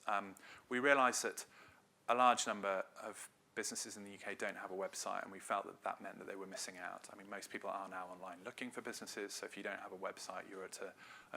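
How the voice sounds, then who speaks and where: A man's voice: lowish voice, vocal fry, pushed and bright, a little hiss or hum. A middle-aged man from Wales giving a conversational conference presentation as a marketing director, with loose phrasing and frequent hedges.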